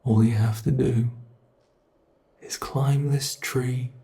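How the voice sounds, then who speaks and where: A man as a slow, gravelly British monster. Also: medium pitch, steady tone, warm tone. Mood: coaxing. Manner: mocking, drawn-out coaxing, impatient. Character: teasing predatory male monster hunting a human. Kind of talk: ASMR horror roleplay.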